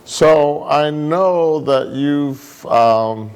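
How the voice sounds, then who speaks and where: A man hosting a talk show, asking a guest questions in an unhurried, hesitant way. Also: on the high side, darkish tone, clear, resonant tone. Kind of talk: casual interview questions.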